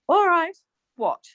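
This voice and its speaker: A woman acting a chirpy, stubborn wife who is quick to retort, in a naturalistic radio play. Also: extremely high pitch, a bit bright, no room sound, heavily acted. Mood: sullen, puzzled. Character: Margaret, bright, stubborn, easily huffy wife in lockdown.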